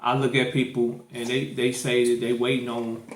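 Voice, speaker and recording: A man's voice: mid-range voice, little pitch movement, normal speed, reverberant, slight background noise, low-quality recording. A man hosting a podcast, talking loosely and rambling, with many restarts and fillers. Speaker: podcast host. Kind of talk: casual chat.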